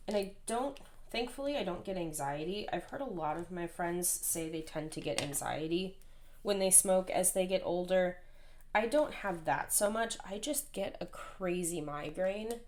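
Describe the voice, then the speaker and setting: A woman in her thirties with a hoarse voice, chatting casually and rambling while she plans. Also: on the low side, a little mellow. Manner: chatty, rambling, self-deprecating. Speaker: planner vlogger. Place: at home, indoors.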